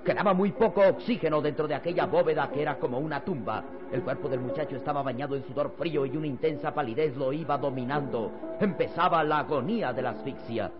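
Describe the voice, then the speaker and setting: A man's voice: a little high, fast, few pauses. A man narrating an old radio serial in a grave, emphatic, scripted style. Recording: dull old radio recording with music.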